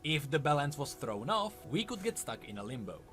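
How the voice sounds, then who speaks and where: A man's voice: a little high, very animated, brisk, fairly bright tone, faint music behind. A man delivering scripted narration as the narrator of a lore video.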